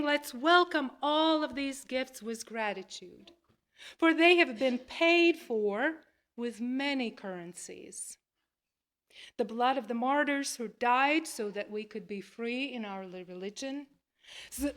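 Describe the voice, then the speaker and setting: A woman minister with a high voice leads worship. She takes her time, speaking very slowly and setting out each phrase deliberately as she addresses the congregation. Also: a bit bright. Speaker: minister leading a church service. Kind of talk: worship address, part spoken, part read aloud.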